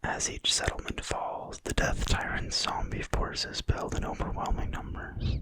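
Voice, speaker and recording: An ASMR creator reading in a quiet, breathy, soothing whisper with no voicing at all. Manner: quiet, slow, soothing delivery. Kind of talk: whispered ASMR reading of a fantasy game manual.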